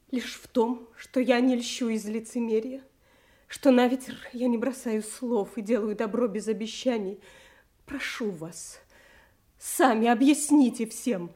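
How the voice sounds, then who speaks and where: A woman's voice: on the high side, a little mellow, lightly acted. A woman playing a sincere, wronged young princess with restrained pride in a classical radio drama.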